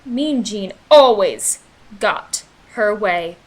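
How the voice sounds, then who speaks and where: A woman reading a children's picture book aloud in an expressive, dramatic, sing-song way, as a storyteller. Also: medium pitch, drawn-out words. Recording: voice-over with music underneath. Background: background music.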